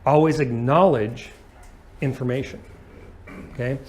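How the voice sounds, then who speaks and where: A man's voice: medium pitch, measured pace, darkish tone. Male flight instructor explaining step by step. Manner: explanatory, checks understanding with short questions. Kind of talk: hands-on aviation lesson.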